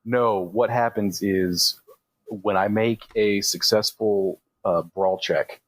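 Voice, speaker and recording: A man's voice: fairly low pitch, steady tone, takes it slowly, no room sound. A man playing a tabletop roleplaying game, casually describing moves and explaining rules step by step.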